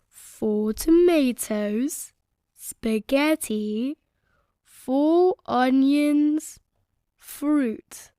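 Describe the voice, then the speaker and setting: A woman voicing a jolly, patient father pig in a children's storybook, speaking in a deliberate, encouraging way. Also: high voice, warm tone, dry recording, clean studio sound.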